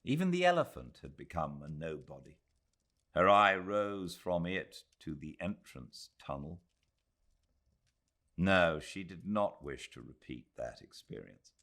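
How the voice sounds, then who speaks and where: British guy reading a classic novel aloud, really low voice, takes his time, expressive storyteller. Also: a little slow. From Britain. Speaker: audiobook narrator. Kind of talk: literary scripted narration.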